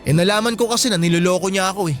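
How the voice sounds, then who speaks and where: A strikingly high-voiced young Filipino boyfriend, played by a man, earnest and full of disapproval. He pleads his case insistently in radio-drama style, with music underneath. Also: racing, lightly acted. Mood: bitter. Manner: insistent, emotional. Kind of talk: radio-drama dialogue acting. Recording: music bed behind the voice.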